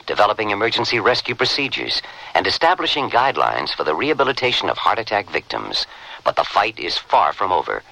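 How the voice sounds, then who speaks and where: Deep, bright-edged male public service announcer. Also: gravelly, rough recording. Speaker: charity spot announcer.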